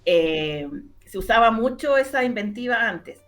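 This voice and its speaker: A woman's voice: on the high side, animated, a little slow. Chilean lady chatting in an interview, explains stuff eagerly, repeats words, bit of music behind her. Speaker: interviewee, radio drama maker. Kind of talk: casual interview answers.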